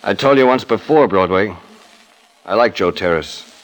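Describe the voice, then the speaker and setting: A low-voiced, world-weary police detective played by a man in a 1940s radio drama. He talks in clipped, understated lines, like a terse cop. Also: animated, normal speed, natural voice, low-quality recording. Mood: reflective, subdued.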